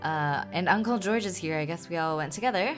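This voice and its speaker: A woman's voice: on the low side, fairly quick, faint music behind. A woman giving casual, slangy gamer commentary with amused, joking asides. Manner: informal, slangy, amused asides between readings. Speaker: gamer reacting to game cut scenes.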